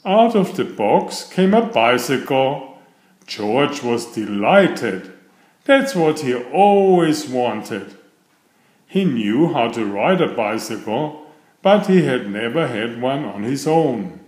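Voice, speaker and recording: A man reading a children's story aloud in a deliberate, expressive storytelling manner, with a roomy sound. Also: mid-range voice. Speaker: storybook narrator. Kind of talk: children's read-aloud narration.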